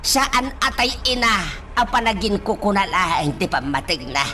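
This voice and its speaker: A woman's voice: medium pitch, very animated, normal speed, sharp tone, soft background music. A woman playing a sighing, emotional mother. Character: older mother fretting over her son. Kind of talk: amateur radio drama acting.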